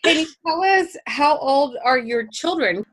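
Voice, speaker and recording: A woman hosting a casual interview show, effusive, warmly thanking and praising her guests. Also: high voice, lively intonation, measured pace, heavy background noise. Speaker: podcast host.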